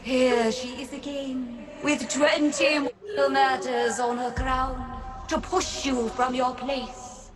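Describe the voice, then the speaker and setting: Slow, taunting female ghost. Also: high-pitched, fairly even intonation, music in the background. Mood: mocking. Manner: declamatory, mocking, sinister. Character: taunting ghost of a murdered star actress. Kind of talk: theatrical horror audio drama.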